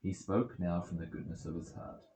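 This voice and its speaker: A low-pitched man narrates an ancient epic from a script in a measured, storytelling way. The recording is roomy and echoing, like a home setup. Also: dark tone. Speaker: volunteer reader of an epic.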